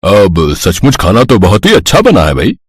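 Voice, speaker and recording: An actor playing a mild, admiring man of the family in a story, husky and theatrical. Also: fairly low pitch, wildly swooping pitch, moderate pace, dry recording, studio quality, exaggerated voice. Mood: approving.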